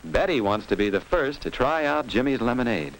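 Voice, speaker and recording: A man's voice: mid-range voice, sing-song, brisk. An American man narrating a 1950s instructional film for children in a scripted, didactic manner, posing simple questions and answers. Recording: noisy vintage 1950s film soundtrack with clicks.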